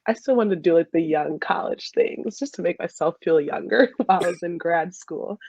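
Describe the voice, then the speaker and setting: A woman's voice: fairly low pitch, lively intonation, moderate pace, creaky, a little mellow, husky, no room sound, muffled recording. A woman in her twenties with an American accent, telling stories casually as a podcast guest in a chatty, giggly way, saying "like" often. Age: 20s. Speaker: podcast guest, dental student and soccer player. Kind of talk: casual conversational storytelling.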